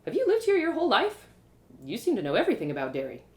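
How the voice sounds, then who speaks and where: A woman reader plays a young storybook girl who is new in town. She sounds curious and unsure, with hesitant questions and a pitch that moves around a lot. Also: huge pitch swings, quick pace, darkish tone, reverberant. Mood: curious, surprised. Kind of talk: storybook character voice.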